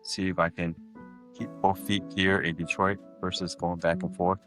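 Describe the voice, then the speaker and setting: A middle-aged man from Vietnam with a low voice and a bright, forward tone. He chats in a friendly, talkative way as a podcast guest, with faint music in the background. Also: flat delivery, moderate pace. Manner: friendly, chatty, laughs often. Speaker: guest, inventor. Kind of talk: casual interview chat.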